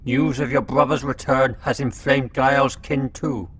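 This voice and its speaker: A man's voice: fairly even intonation, a little slow, fairly bright tone. A man voicing a talking locust, a gossipy messenger in a radio drama. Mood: reporting gravely. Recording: clear background noise with low rumble.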